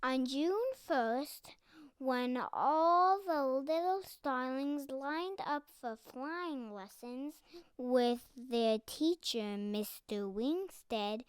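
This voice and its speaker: A child reading a picture book aloud carefully, phrase by phrase, with pauses. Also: sits high, animated, no echo. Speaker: storybook reader.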